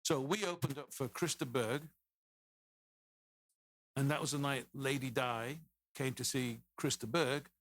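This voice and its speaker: A man's voice: medium pitch, fairly even intonation, measured pace, long pauses, fairly bright tone, no room sound. A man in his fifties with an English accent, a podcast guest telling stories in a loose, rambling way. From England. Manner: rambling, anecdotal, many restarts. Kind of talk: casual storytelling interview.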